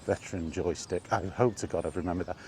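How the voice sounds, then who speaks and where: A middle-aged man in a casual, rambling vlog chat, speaking conversationally with halting pauses while out walking. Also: low voice. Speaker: gaming vlogger. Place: outdoors, walking. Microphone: microphone with wind guard. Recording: windy outdoor recording, background noise.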